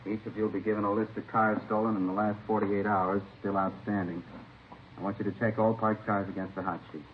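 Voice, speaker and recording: Police captain male actor, low, authoritative, methodical, old-time radio drama, narrow muffled sound with light hiss. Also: level tone, brisk, lightly acted. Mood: businesslike. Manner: methodical, orderly, instructing. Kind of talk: 1950s radio crime drama acting.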